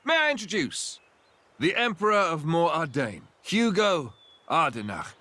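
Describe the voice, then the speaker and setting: An animated young prince, higher-pitched. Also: male voice, measured pace, exaggerated voice. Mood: courteous. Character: easygoing, amiable young prince and warrior. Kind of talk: video game cutscene acting.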